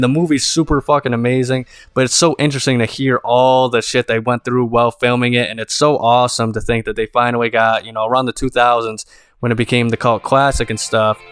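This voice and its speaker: Clear-voiced young man rambling over music. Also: medium pitch, a bit bright, no echo. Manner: rambling fan enthusiasm. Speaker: gaming video host. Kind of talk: casual rambling commentary.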